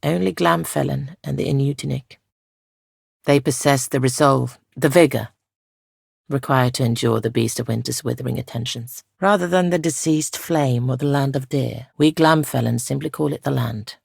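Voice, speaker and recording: Female spirit guardian, fantasy game, ancient, solemn, soft, very gravelly, breathy, formal, measured. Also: extremely low pitch, no echo, studio quality, exaggerated voice. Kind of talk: scripted fantasy game dialogue.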